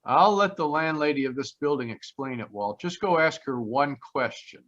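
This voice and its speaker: A man's voice: medium pitch, animated, normal speed, a bit bright, close to an everyday voice. A man playing a confident, wry private detective in an old-time radio drama, speaking in a clipped, assured way. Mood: assured, deducing. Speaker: actor. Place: live online video call. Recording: slightly dull video-call audio.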